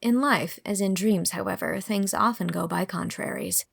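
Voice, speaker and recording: A woman's voice: medium pitch, moderate pace, creaky, husky. A woman reading scripted narration for a classic storybook.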